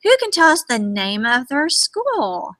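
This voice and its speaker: A woman with a bright, fairly high voice talks to young children at an unhurried pace. She is encouraging and full of exclamations, like a school librarian leading story time. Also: sing-song. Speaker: school librarian hosting a remote read-aloud. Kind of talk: read-aloud to young children.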